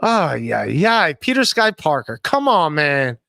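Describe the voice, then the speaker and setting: Man in his forties, podcast host, self-deprecating banter, high bright voice. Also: sing-song, measured pace, vocal fry, no room sound, clean studio sound. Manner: self-deprecating, reads chat messages aloud. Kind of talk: casual chat. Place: home room, indoors. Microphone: studio mic with headphones, video call.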